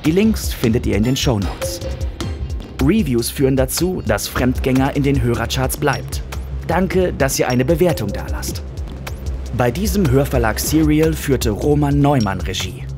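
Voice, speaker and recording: A man's voice: medium pitch, expressive, measured pace, music in the background. A man reading a scripted podcast outro as announcer.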